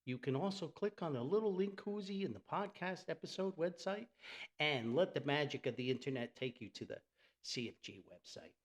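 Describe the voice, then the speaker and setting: American guy from new jersey chatting on his podcast, kinda theatrical and folksy, voice a bit high and really up and down. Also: lively intonation, brisk. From New Jersey, United States. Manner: theatrical, folksy, exclamatory, repeats words for emphasis. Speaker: podcast host. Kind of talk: chatty solo podcast monologue with sponsor reads.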